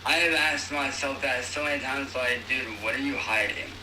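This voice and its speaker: A man's voice: mid-range voice, normal speed. A young man chatting casually and slangily on an echoey, distant, noisy phone recording. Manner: slangy, swearing. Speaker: caller.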